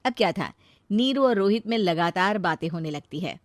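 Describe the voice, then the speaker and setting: A woman's voice: mid-range voice, lively intonation, moderate pace, no echo. A woman narrating a scripted, dramatised story as its storyteller.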